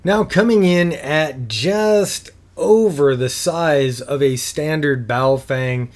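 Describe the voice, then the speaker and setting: A man's voice: on the high side, slow pace. A man in his fifties giving a casual, plain-spoken product review in an easygoing explaining way. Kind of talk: casual scripted review narration.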